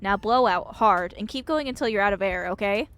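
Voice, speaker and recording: Stoic older woman doctor for a visual novel, read by a woman streamer. Dry, skeptical, clinical, medium pitch. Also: fairly quick, a bit bright, natural voice. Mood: dry, matter-of-fact.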